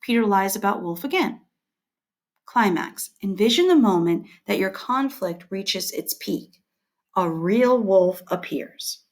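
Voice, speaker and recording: A woman teacher reading scripted instructional material aloud, setting out headings and short points in a measured, deliberate way. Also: medium pitch, animated.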